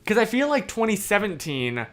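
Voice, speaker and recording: Hoarse young man, rambling stream chat. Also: sits high, very animated, normal speed, a bit bright. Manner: rambling, loses his train of thought; laughs easily. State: hoarse, voice worn out. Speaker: livestream host. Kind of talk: casual livestream chat, answering comments.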